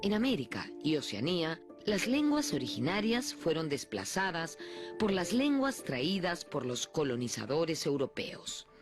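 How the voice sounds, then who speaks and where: A woman reading a scripted documentary narration in a clear, measured way. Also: low voice, sing-song, soft background music.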